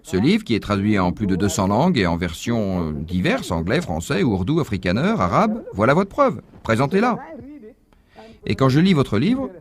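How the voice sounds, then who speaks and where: A man giving a measured, didactic religious lecture as a voice-over, posing rhetorical questions to the audience. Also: lowish voice, big pitch swings. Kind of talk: dubbed lecture, explaining a point.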